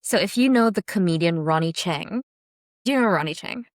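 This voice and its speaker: A woman's voice: on the low side, animated, brisk, dry recording, studio quality. A woman from Malaysia, a podcast guest, telling her life story in a chatty, casual way and laughing easily. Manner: chatty, laughs easily, frequent fillers and restarts.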